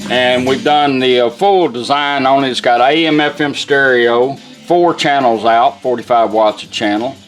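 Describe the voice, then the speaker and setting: A man casually demonstrating restored equipment in an informal, folksy, unhurried way. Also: medium pitch, soft background music. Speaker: radio restorer demonstrating a product.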